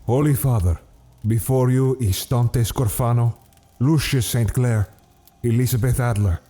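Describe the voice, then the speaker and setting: An actor playing a formal, protective, dutiful Cardinal who attends the Pope in an audio drama. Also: male voice, medium pitch, a little slow, warm tone, lightly acted. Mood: formal, respectful.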